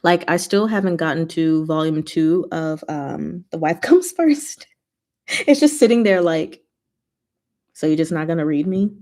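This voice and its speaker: A woman hosting a livestream, chatting casually and playfully with her viewers in an animated, slangy way. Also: sits low, a little slow. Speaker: livestream host and book reader.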